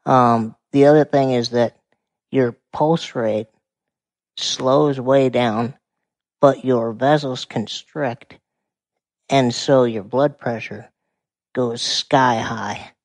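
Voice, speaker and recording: A quiet-voiced man in his forties from Montana, speaking calmly and explaining things as a guest in a conversation. His voice has a gravelly edge. The sound is dry and close. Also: medium pitch, takes it slowly, long pauses, dry recording. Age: 40s. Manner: calm, explanatory. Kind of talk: casual conversation.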